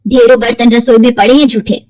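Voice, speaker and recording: A woman's voice: fairly high pitch, level tone, a little fast, darkish tone, dry recording, close to an everyday voice. A woman voicing a fretful, complaining middle-aged mother-in-law in a cartoon story. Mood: irritated, fretful. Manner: complaining, scolding.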